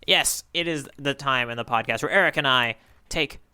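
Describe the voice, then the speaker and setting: A man's voice: mid-range voice, moderate pace, sharp tone, a little hiss or hum. A man co-hosting a podcast in a casual, rambling, playful way. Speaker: podcast co-host. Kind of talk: casual banter about manga.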